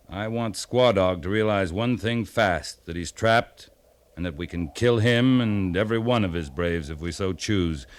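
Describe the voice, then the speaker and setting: An actor playing a seasoned, decisive American cavalry captain who gives clipped, authoritative orders in a 1950s radio western. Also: male voice, sits low. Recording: noisy 1950s radio broadcast recording.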